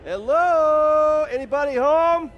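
A man's voice: extremely high pitch, takes it slowly, lo-fi sound, a little hiss or hum. A man playing a cocky, wisecracking young superhero in a video game, with an American accent. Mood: confident, curious. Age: young adult. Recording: game music behind the voice.

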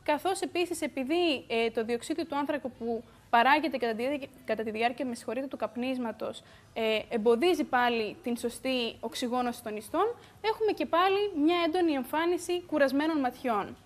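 A young woman, a beauty expert, explaining calmly in a TV studio on a lapel mic, with the odd "uh" filler. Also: high-pitched. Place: bright TV studio.

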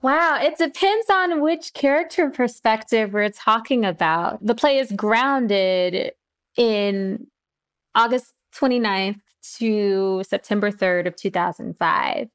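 A woman speaking as a playwright guest in a reflective discussion, with deliberate, thoughtful phrasing and pauses. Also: fairly high pitch.

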